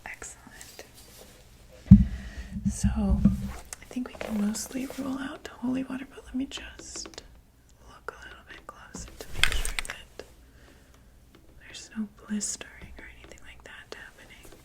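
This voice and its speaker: A woman whispering an ASMR medical roleplay as a doctor, gently reassuring and checking in with the listener. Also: high voice, steady tone, a little slow, vocal fry, pauses often.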